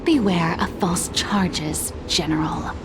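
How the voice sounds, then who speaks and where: A woman's voice: lowish voice, takes it slowly, very noisy. A woman voicing a defiant, scornful schemer in a fantasy game, theatrical and breathily taunting.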